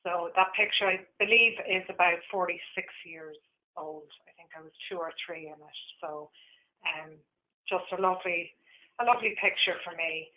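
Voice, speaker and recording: A woman's voice: lowish voice, moderate pace. An Irish woman in her forties presenting her tour business, with frequent ums and restarts. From Ireland. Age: 40s. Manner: warm, hesitant with frequent ums. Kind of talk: webinar presentation. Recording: tinny phone-like line.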